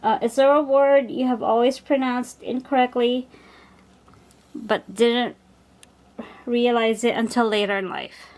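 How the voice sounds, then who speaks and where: A woman's voice: fairly high pitch, fairly even intonation, a little slow, long pauses, darkish tone, low-quality recording. A woman from the Philippines in her forties with a Filipino accent, chatting casually as she stitches and muttering to herself along the way. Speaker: cross-stitch vlogger.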